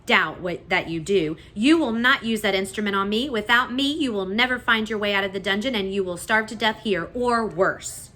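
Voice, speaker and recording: A woman's voice: medium pitch, normal speed, few pauses, bright, forceful tone, pitched above the performer's own voice, lightly acted. A woman voicing a haughty, scheming male rat who speaks in a pompous, formal way.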